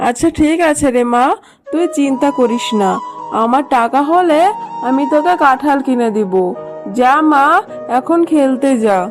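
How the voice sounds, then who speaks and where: A woman's voice: high-pitched, a little slow. A woman voicing a poor, weary but loving fox mother in a cartoon, speaking in a plaintive, motherly way. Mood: tender. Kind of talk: children's cartoon voice acting. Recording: music playing behind the voice.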